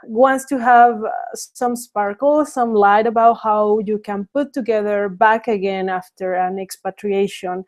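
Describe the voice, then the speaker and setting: A woman from Mexico in her thirties hosting a casual webinar, speaking hesitantly with many fillers and restarts. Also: mid-range voice. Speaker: webinar host.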